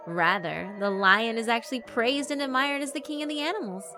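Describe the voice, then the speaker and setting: A woman's voice: fairly high pitch, fairly quick, pushed and bright, pitched above the performer's own voice. A woman voicing a sweet, persuasive female figure who praises the bullies' cruelty. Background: background music.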